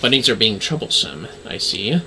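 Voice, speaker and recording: A man's voice: lowish voice, normal speed, bright, forceful tone, a little hiss or hum, poor audio. A man giving casual, rambling livestream game commentary, swearing now and then. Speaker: game streamer.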